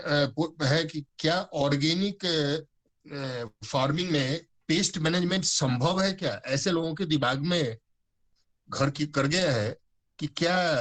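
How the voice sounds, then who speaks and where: A man's voice: a little high, a bit bright. A man, giving a conversational talk on a video call, full of fillers and English words. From Bihar, India. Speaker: guest speaker on organic farming. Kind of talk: informal talk to an online audience.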